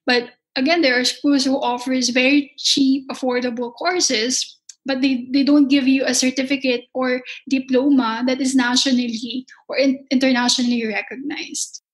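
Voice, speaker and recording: Young woman, Filipino accent, high voice, halting careful speech, culinary teacher. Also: flat delivery, low-quality recording. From Philippines. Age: young adult. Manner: halting, many restarts, speaks with care. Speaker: culinary instructor. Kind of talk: interview answers.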